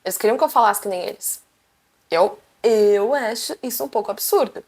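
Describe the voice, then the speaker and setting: A young woman with a Brazilian Portuguese accent, chatting to camera as a vlogger and telling stories in a lively, animated way. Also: medium pitch, normal speed. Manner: animated, chatty, acts out quotes. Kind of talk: casual storytelling to camera.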